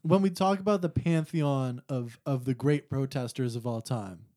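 A man's voice: mid-range voice, moderate pace, dark tone, no room sound. A man co-hosting a casual conversation, putting in questions and wry, wordy remarks with dry humour. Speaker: podcast co-host. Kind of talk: casual chat, interjecting and questioning.

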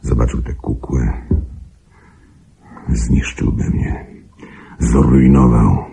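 An actor playing a cold, calculating killer in a radio play, justifying himself with menacing regret in a gravelly, husky voice. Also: male voice, a bass voice, fairly even intonation, very slow, dark tone, exaggerated voice. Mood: cold, regretful.

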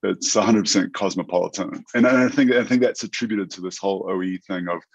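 Halting New Zealander with an American accent. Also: male voice, low-pitched, slightly rough, highs cut off. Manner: halting, many restarts and fillers. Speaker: guest, tech entrepreneur. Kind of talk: casual interview chat.

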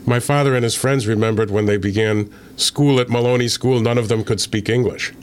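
A man from Connecticut, an author being interviewed, speaking in a measured, thoughtful way with frequent filler pauses. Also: mid-range voice, steady tone, clean tone. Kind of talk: relaxed interview conversation.

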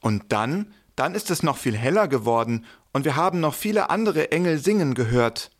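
Man playing an excited, animated shepherd. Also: on the high side, normal speed. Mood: excited, recounting. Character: excited plain-spoken shepherd recounting a wonder. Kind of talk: scripted Nativity audio play.

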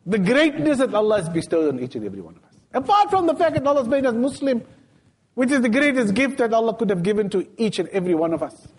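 A man preaches in a mosque, his fairly high voice swinging widely up and down. He tells stories in plain everyday speech and slips into lively dialogue. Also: fast talker, breathy edge. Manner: storytelling, retelling scenes in everyday speech with lively quoted dialogue. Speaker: sheikh giving a Friday talk. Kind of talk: sermon.

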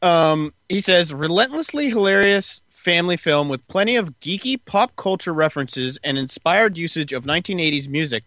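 Bright-voiced man reviewing a movie. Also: a little high, expressive, dry recording, muffled recording. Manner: halting, many fillers when reading. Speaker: podcast co-host. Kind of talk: casual movie review chat.

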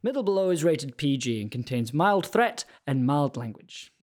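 A man's voice: fairly high pitch, sing-song, normal speed, a little mellow. A man introducing his audio drama podcast, hesitant, with filler sounds. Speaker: podcast creator.

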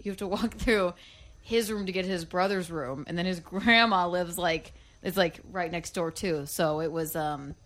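A woman's voice: fairly low pitch, moderate pace, a bit bright. A woman in her twenties co-hosting a podcast, telling stories in casual banter and laughing through them. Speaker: podcast co-host.

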